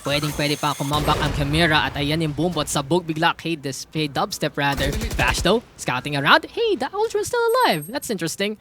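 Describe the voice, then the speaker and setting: A man with a Filipino accent doing live, animated esports play-by-play, switching between English and Tagalog. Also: fairly high pitch, fairly quick, few pauses, background noise. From Philippines. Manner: animated, rising with the action.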